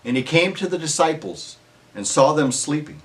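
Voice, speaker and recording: A man's voice: on the high side, animated, moderate pace, fairly bright tone. A man reading scripture aloud as narrator in a formal storytelling way, his phrases falling at the end.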